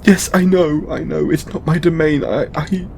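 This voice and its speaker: An actor playing a distraught, overburdened god in an emotional audio roleplay, a man speaking tearfully and haltingly with exasperated swearing. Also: a little high, fairly even intonation, gravelly, darkish tone, slight background noise. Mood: apologetic, tearful. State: crying. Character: distraught, overburdened male god venting to his devotee.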